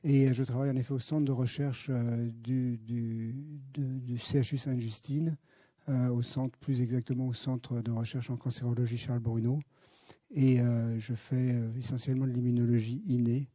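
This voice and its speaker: A man's voice: mid-range voice, little pitch movement, normal speed, dark tone, no echo. Hesitant middle-aged Frenchman lecturing. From France. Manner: hesitant, many fillers, friendly. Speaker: guest speaker, pediatric hematologist and researcher. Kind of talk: conference talk. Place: lecture hall.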